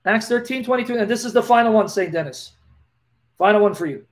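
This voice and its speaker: High-voiced man with a lisp. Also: quick pace. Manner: animated, preacherly, gestures while talking. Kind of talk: live Q&A, reading Bible verses aloud. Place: small dim indoor room. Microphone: laptop webcam, close.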